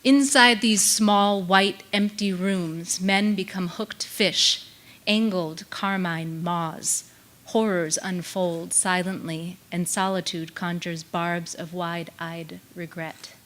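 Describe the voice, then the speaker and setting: Woman, thirties, lowish voice, very slow delivery, solemn poetry reading, stage microphone. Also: fairly even intonation, fairly bright tone. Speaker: literary event reader. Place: on stage in a theater. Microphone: stand microphone.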